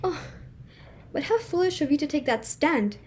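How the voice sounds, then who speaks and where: A woman's voice: high voice, animated, normal speed, a little mellow, slight background noise. A woman playing a flustered, imperious society hostess and mother in a dramatic reading of a stage comedy, emphatic and exclamatory. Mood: insistent, fussing.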